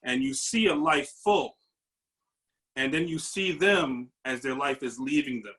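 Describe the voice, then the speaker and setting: A man who works as a paramedic lieutenant speaks as a guest on a video call panel. He talks earnestly and reflectively, with some halting restarts. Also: on the high side, expressive, fairly bright tone, poor audio.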